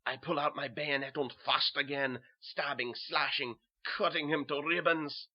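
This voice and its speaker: A man's voice: on the high side, steady tone, lightly acted. Man acting a haunted German ex-soldier, dull recording. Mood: dazed, brooding. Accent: put-on German. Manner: halting, repetitive, brooding first-person delivery. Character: dazed, haunted male German ex-soldier and butcher's helper. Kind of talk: dramatic horror story reading.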